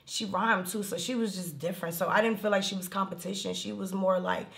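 A woman in her thirties with a raspy alto voice, chatting casually in an interview and rambling through stories with many fillers. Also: medium pitch, fairly even intonation, fairly quick, a bit bright. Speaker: rapper and singer interviewed. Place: indoor room, seated on a leather couch.

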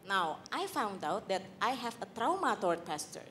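A woman from Indonesia with an Indonesian accent, sharing a candid personal testimony on a church panel, halting with fillers, on a handheld mic in a large hall. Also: medium pitch, sing-song, a bit bright, slight background noise. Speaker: panel guest.

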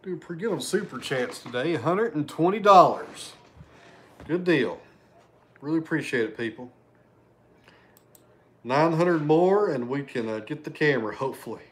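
A man's voice: a little high, lively intonation, normal speed, long pauses, a bit bright. Chatty man on a home livestream. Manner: chatty, thanks viewers by name, loses his train of thought. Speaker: livestream host. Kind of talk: casual livestream chat reading super chats. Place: living room at home, indoors.

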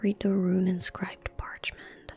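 A young woman murmurs softly into a close desk microphone in a breathy whisper. Her delivery is very slow and gentle, with little rise or fall in pitch. It is relaxed ASMR commentary over gameplay. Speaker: ASMR gaming streamer. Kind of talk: soft-spoken ASMR game commentary. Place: indoor room at a desk.

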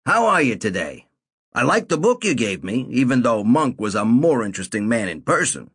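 A man voicing a pushy, teasing old man in a nursing home who talks in a slow, nagging, sly way. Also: medium pitch, big pitch swings, a bit bright, breathy edge, no room sound, studio quality. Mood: cheerful. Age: older.

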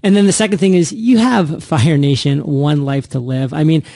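High-voiced man hosting a punchy podcast. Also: moderate pace, vocal fry, dark tone, no room sound, studio quality. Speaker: podcast host. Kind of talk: upbeat entrepreneurship podcast hosting.

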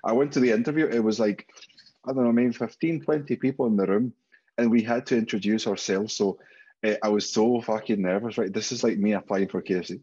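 A man's voice: on the low side, fairly even intonation, brisk, mellow, breathy edge, muffled recording. A man in his thirties from Spain with a Spanish accent, a guest speaking candidly on a video call and swearing freely. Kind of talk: casual interview chat. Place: home room, indoors.

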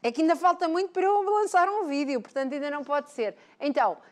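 A woman's voice: high-pitched, quick pace. A woman in her forties with a European Portuguese accent, hosting a TV talk show in a playful, encouraging way, recorded on a lapel mic. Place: bright TV studio, indoors.

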